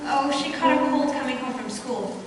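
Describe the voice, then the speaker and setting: A woman's voice: high voice, normal speed, far from the mic, close to an everyday voice. A woman playing a cheerful, chatty young wife and mother in a live radio play. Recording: echoey hall, piano playing behind.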